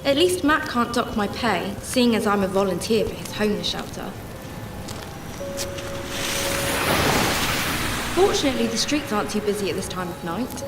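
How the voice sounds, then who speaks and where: A woman's voice: medium pitch, lively intonation, quick pace, heavy background noise, close to an everyday voice. A woman playing a compassionate, reflective young shelter volunteer who narrates her own story in a radio drama. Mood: wry, observant. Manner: earnest, reflective. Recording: roomy, distant sound with music. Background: music.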